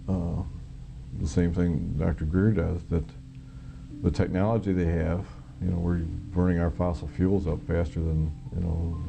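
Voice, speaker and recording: Very gravelly, low-voiced American man. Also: dark tone, slight background noise, rough recording. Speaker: former military air traffic controller, witness. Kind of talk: personal testimony in an interview.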